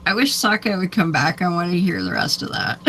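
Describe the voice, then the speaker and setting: A Canadian woman talks casually on a video call, her voice bright and sharp. She laughs a lot and stumbles over her openings, repeating words before she gets going. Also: fairly low pitch, normal speed, gravelly, a little hiss or hum. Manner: laughs often, repeats words when starting. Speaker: discussion participant. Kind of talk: casual online group chat.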